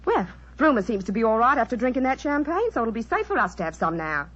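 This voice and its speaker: A woman's voice: on the high side, sing-song, fast, a bit bright, breathy edge, full character voice. A woman playing a breezy, frank chorus girl turned island queen, chatty and candid, in 1940s radio style. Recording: dull vintage 1940s radio recording.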